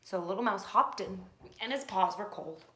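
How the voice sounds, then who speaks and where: A woman narrating a children's picture book expressively, with dramatic pauses and hushed phrases. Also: medium pitch. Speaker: storybook reader. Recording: faint background noise.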